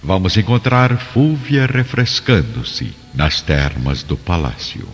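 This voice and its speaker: A man's voice: low-pitched, lively intonation, slow pace. A man with a Brazilian accent giving dramatic scripted narration for a radio drama. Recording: muffled radio drama with music behind.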